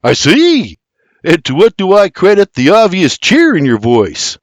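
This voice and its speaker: A man's voice: high voice, huge pitch swings, a little slow, no room sound, voice pushed up, exaggerated voice. A man voicing a teasing, sarcastic younger Irishman with an Irish accent. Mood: wry. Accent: Irish, as played. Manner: wry, sarcastic retorts.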